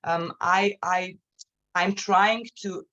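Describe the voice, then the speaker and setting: A slightly low-voiced woman speaks haltingly, repeating words as she presents and answers in a webinar. The sound is dull and lo-fi, like a muffled online call. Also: fairly even intonation, a bit bright.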